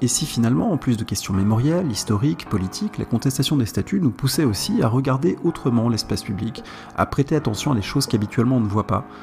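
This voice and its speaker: A man's voice: medium pitch, animated, fast talker, gravelly, a little mellow, faint music behind. A man hosting a podcast, reading scripted links between interview excerpts. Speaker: podcast host.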